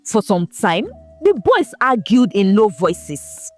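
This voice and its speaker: A woman narrating a folktale in a scripted, expressive, dramatic storytelling manner. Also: medium pitch, slow, soft background music.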